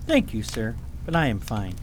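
A man's voice: fairly low pitch, wildly swooping pitch, moderate pace, a little hiss or hum. A man playing a stubborn, serious boy prince in a fantasy radio drama, speaking tersely and earnestly. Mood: guarded.

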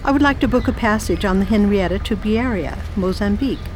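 A woman's voice: mid-range voice, fairly quick, close to an everyday voice. A woman playing a genteel lady adventurer, noisy recording. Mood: polite, firm. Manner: polite, proper, composed. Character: genteel, intrepid lady traveller of 1910, poised and determined. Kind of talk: radio drama acting.

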